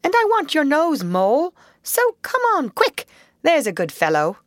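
A woman voicing a cheerful, brisk male water rat who calls out in a hearty, hurried way. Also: high-pitched, wildly swooping pitch, no echo, clean studio sound, voice pushed up. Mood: urging.

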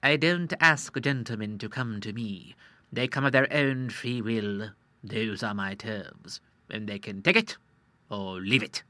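A man voicing a shrewd middle-aged moneylender who talks in a slow, measured, coolly persuasive way. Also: on the low side, sharp tone, dry recording.

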